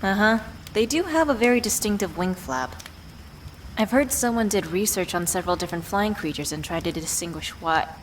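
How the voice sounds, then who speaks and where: A woman's voice: medium pitch, animated, a little fast, close to an everyday voice, low-quality recording. A woman playing a worried, affectionate witch who tenderly scolds a beloved partner in an ASMR roleplay audio drama. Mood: teasing exasperation. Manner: playfully exasperated, tender scolding with sighs. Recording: clear background ambient noise.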